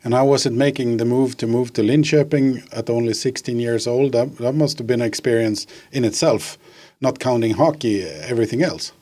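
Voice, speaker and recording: A man's voice: medium pitch, moderate pace, mellow. A man hosting a podcast, asking conversational interview questions.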